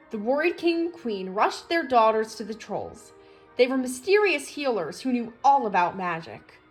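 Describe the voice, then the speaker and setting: A woman reads a children's storybook aloud in a high, very animated voice. Her delivery is expressive and scripted, made for young listeners. Music plays along with her. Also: low-quality recording. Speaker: storybook reader.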